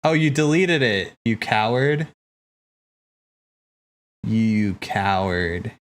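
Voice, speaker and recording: A man hosting a watch party, chatting casually in an animated, slangy, teasing way. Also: on the low side, very slow. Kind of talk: casual live reaction chat. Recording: game music playing behind.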